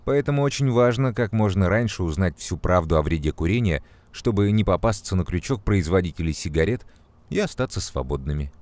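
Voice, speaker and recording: A man playing a calm, knowledgeable mentor who explains things to children in an educational cartoon, measured and clearly enunciated. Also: low voice, dry recording. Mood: earnest, explanatory. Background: music.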